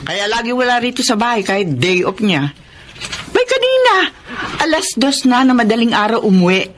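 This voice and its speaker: A woman's voice: a little high, sing-song, moderate pace, a little hiss or hum. A Filipino woman acting as a fretful, protective middle-aged mother in a radio drama. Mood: exasperated.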